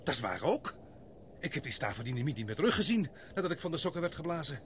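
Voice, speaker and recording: A man playing a calm young expedition leader. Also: on the high side, quick pace. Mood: steady. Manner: calm, steady, reassuring. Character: resolute young male expedition member. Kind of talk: radio play acting. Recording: radio play with steady background noise.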